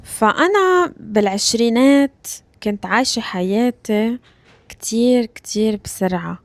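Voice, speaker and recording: A woman in her thirties from Lebanon, with a Lebanese Arabic accent, hosting a language-learning podcast. She talks slowly and deliberately, repeats phrases and checks in with her listeners. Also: a little high, expressive, dry recording. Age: 30s. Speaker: Arabic teacher and podcast host. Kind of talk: slow, clear podcast lesson for learners.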